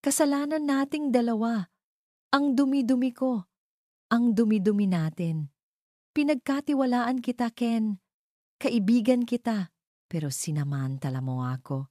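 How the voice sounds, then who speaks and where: Woman acting anguished, bitter young mother, pitched up. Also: lively intonation, a little slow, pauses often, mellow, no echo, studio quality. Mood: bitter accusing. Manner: emotional, accusing outbursts.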